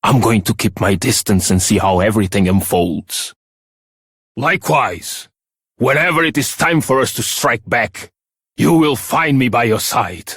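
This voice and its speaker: Game casting: steadfast veteran fighter, raspy, unhurried, formal and earnest, recorded dry. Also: male voice, mid-range voice, fairly bright tone, dry recording. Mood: resolute. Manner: formal, measured. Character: noble, steadfast veteran warrior, earnest and loyal. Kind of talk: scripted video game voice acting.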